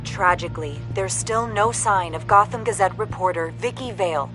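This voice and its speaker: A woman's voice: mid-range voice, over music, natural voice. Woman playing a composed, formal news anchor. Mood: grave, composed. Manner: measured newsreader delivery. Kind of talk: news report in a video game.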